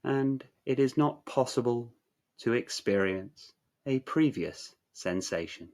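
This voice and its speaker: A man narrating a guided meditation in a measured, soothing, instructional way, leaving long pauses. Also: mid-range voice, lively intonation, a little mellow.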